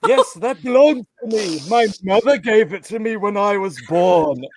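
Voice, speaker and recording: Man playing a creaky, melodramatic male space villain. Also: high-pitched, lively intonation, moderate pace. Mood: wounded, sulky. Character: menacing, petulant male sci-fi villain, theatrical and melodramatic. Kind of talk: live comedic script table read.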